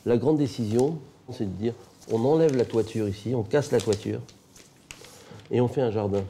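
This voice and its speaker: Dark-voiced older man, emphatic. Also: mid-range voice, normal speed, a little hiss or hum. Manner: emphatic, repeats words for effect. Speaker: architect. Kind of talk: interview.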